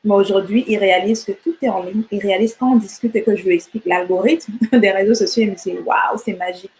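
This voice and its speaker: A middle-aged woman from Rwanda talks as an interview guest in a relaxed, conversational way. Her delivery is animated, with frequent restarts and repeated words as she searches for what to say next. Her pitch sits in an ordinary middle range. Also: quick pace, a little hiss or hum, poor audio. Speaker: guest entrepreneur. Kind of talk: casual interview chat.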